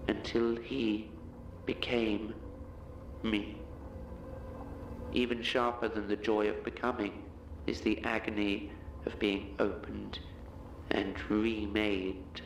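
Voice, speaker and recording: A man playing a bitter, menacing monster that was once human, speaking in deliberate, theatrical phrases with dramatic pauses. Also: on the low side, little pitch movement, vocal fry. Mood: anguished menace. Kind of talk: dramatic audio horror monologue. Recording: roomy, distant, noisy ambience.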